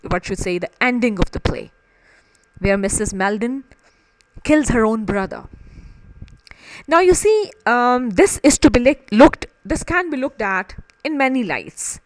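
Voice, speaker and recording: A woman's voice: a little high, animated, normal speed, dry recording, low-quality recording. Woman teacher lecturing, very dry close sound. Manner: emphatic, repeats and rephrases phrases for stress. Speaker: English literature teacher. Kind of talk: explanatory lecture on a play.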